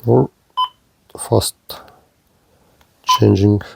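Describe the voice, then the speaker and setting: A man giving a hands-on demonstration of gear as a product reviewer, speaking in short, halting phrases. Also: lowish voice, fairly even intonation, gravelly, a little hiss or hum.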